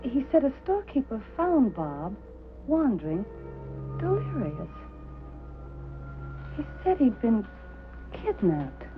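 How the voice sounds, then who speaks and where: An actress playing an anxious wife in a 1950s drama, halting and dazed, on a tinny soundtrack. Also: female voice, a little high, wildly swooping pitch, heavily acted. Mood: shaken. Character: tense, anxious wife relaying news. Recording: tinny vintage soundtrack, faint music.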